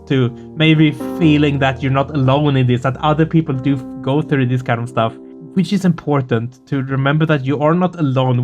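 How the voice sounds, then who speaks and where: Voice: mid-range voice, darkish tone, highs cut off. A gaming video host chatting casually and effusively, earnest and prone to swearing. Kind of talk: casual excited chat after a game playthrough.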